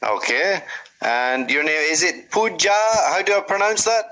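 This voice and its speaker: A man from Scotland, tutoring English over a video call in a patient, encouraging way and explaining words slowly. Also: fairly high pitch, expressive, pushed and bright. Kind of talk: casual conversation lesson.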